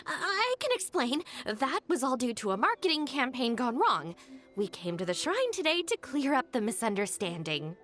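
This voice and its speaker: A woman's voice: high-pitched, very animated, measured pace, a bit bright, faint music behind, heavily acted. An actress playing a composed, articulate young woman in a video game, speaking in formal, evasive corporate phrasing. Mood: defensive, diplomatic. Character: composed, articulate young woman, smoothly covering for her gang.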